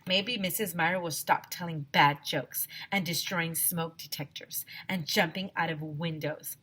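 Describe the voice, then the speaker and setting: A woman teacher reading a children's story aloud expressively, with an animated, emphatic manner, addressing young listeners directly. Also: on the low side, measured pace, slightly rough.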